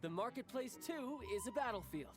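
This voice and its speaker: A man's voice: extremely high pitch, animated, a little fast. An actor playing a cocky, playful young male warrior commander who speaks in a breezy, confident, teasing way, with game music behind him. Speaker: military commander. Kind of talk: video game voice acting.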